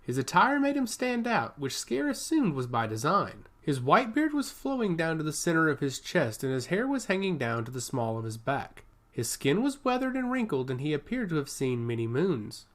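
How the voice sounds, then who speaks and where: A man's voice: a little high, sing-song, moderate pace, a bit bright. A man reading scripted fantasy narration as a storyteller.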